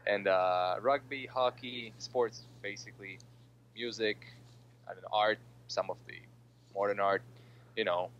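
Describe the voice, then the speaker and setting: Man, early twenties, American accent, lowish pitch, casual hesitant answers, dull sound with slight background hum. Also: fairly even intonation, a little slow, fairly bright tone. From Russia. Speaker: interviewee, political science master's student.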